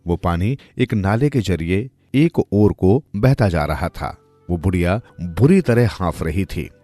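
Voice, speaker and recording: A man's voice: low voice, lively intonation, a little slow, warm tone, no echo, faint music behind. A man narrating a moral folk tale from a script, as a storyteller.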